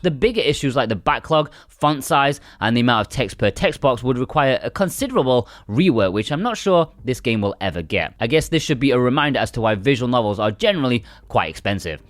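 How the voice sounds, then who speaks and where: A man's voice: medium pitch, big pitch swings, brisk, few pauses, dry recording, studio quality. A man narrating a scripted video game review in a conversational, emphatic way.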